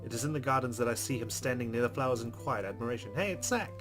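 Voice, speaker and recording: A man reads a visual novel aloud to his viewers and drops wry comments in between. His voice is mid-pitched and even. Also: fast, music in the background. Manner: wry asides between narration. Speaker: game streamer.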